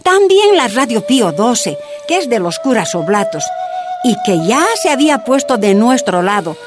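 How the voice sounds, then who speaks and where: Miner's wife, earnest and emphatic, lively highish voice for radio testimony. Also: female voice, moderate pace, over music. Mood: earnest, wry. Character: earnest, outspoken Bolivian miner's wife telling her story. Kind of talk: radio drama testimonial storytelling.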